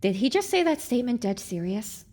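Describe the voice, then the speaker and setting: A woman as a high-voiced feisty young mare. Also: sing-song, a little mellow. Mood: exasperated. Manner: brisk, assertive, sarcastic. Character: small young mare, feisty tinkerer heroine, bossy, exasperated.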